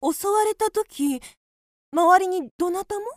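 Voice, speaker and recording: A woman's voice: very high-pitched, takes it slowly, no echo, clean studio sound. An actress playing an anxious, curious, girlish lady passenger in a radio drama. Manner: wondering, questioning.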